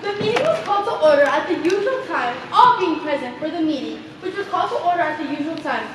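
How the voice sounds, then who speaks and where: Woman playing very high-pitched, flustered committee lady. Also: fast. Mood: fussy. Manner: stammering, repetitive, excitable. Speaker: stage actor. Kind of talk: live stage play acting. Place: theatre stage, recorded from far off. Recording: echoey theatre, distant mic, noisy.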